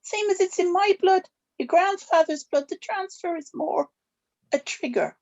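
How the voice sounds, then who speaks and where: A woman's voice: extremely high pitch, animated, normal speed, darkish tone, muffled recording. A woman playing a stern, evasive old witch grandmother in a drama script table read. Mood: guarded, explaining.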